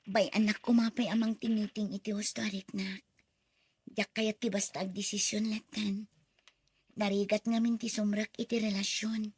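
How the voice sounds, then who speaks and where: An actress playing an emotional, earnest wife in a radio drama, speaking with an Ilocano accent. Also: female voice, mid-range voice, slightly rough, dry recording.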